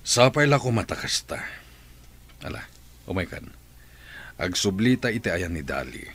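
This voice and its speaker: Low-voiced, concerned father. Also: sing-song, normal speed, fairly bright tone, full character voice. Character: concerned middle-aged father. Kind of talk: radio drama acting.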